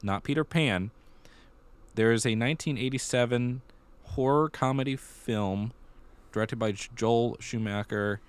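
A man's voice: mid-range voice, measured pace, clean tone. A man co-hosting a theater podcast in a casual, conversational and explanatory way. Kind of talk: casual chat about musical theater news.

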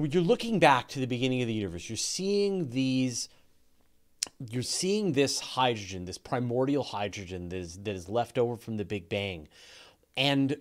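A man with a Canadian accent hosting a podcast, asking questions with eager, joking enthusiasm. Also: mid-range voice. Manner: enthusiastic, jokey, restarts often. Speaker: podcast host. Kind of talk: interview.

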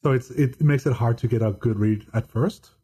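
A man guesting on a podcast, talking in a deadpan monotone and often stopping to restart his sentences. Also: mid-range voice, normal speed, slight background noise. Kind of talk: casual chat.

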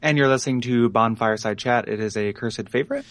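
A man's voice: mid-range voice, fast, no echo. A man hosting a podcast while sick, with a froggy voice, chatting casually and stammering now and then. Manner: stammering, giggly. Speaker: podcast host. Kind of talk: casual chat.

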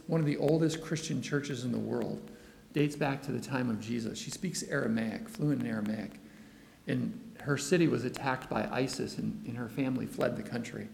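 A man giving a lively mission report in church, acting out the voices of the people in his story. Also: medium pitch, vocal fry. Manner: animated storytelling, acts out quoted dialogue. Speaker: missionary speaker. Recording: echoey hall, long reverb.

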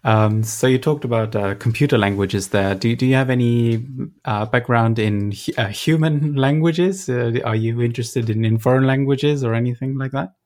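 A man's voice: mid-range voice, darkish tone. A man interviewing a guest, asking his questions with many ums, uhs and restarts. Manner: hesitant, fills pauses with uh and um.

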